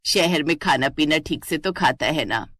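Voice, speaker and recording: A woman voicing an older village mother-in-law, patient but proudly firm, in an animated family story. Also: low voice, moderate pace, no echo, clean studio sound, pitched below the performer's own voice. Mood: caring.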